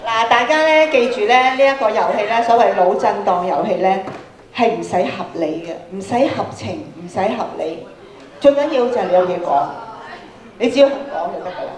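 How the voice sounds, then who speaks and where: A woman's voice: medium pitch, a little fast, darkish tone, a faint crowd. A middle-aged woman with a Hong Kong Cantonese accent, encouraging a student audience as a workshop speaker. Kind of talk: spoken instructions to a student audience. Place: large indoor lecture hall. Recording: echoey auditorium, murmuring audience behind.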